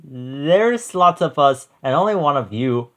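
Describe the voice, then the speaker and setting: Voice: mid-range voice, expressive, unhurried, close to an everyday voice. A storybook reader voicing a confident, bossy female goat who leads the herd. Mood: confident, explaining. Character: Jaina, bold bossy female leader goat.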